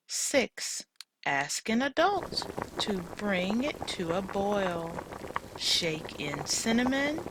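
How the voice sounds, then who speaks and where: A woman teacher reading a children's storybook aloud, enunciating deliberately for young listeners. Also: medium pitch, very noisy. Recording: loud music behind the voice. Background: music.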